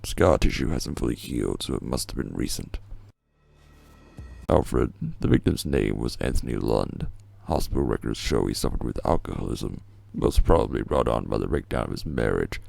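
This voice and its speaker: A man playing a grim, brooding masked vigilante detective in a video game fan dub, speaking tersely and deliberately in a deep, gravelly voice. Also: expressive, a little mellow, dry recording, heavily acted. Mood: clinical, grim.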